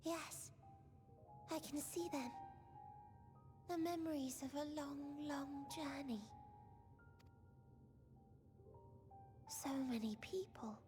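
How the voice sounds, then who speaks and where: High, soft, sorrowful otherworldly girl. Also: music in the background. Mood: wistful, awed. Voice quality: soft, breathy. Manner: slow, tender, wistful, solemn delivery. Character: gentle, sorrowful otherworldly girl, childlike and innocent. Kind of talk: scripted video game cutscene acting.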